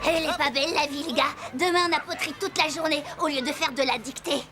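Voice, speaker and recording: A woman's voice: high-pitched, fast talker, over music, lightly acted. A woman voicing an upbeat, carefree young schoolboy in a cartoon. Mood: cheerful. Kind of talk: cartoon voice acting.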